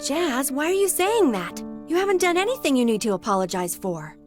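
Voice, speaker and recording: A woman's voice: sits high, big pitch swings, a little fast, over music. A woman playing a caring, spirited young fighter in a video game, speaking frankly and directly. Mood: frank, insistent.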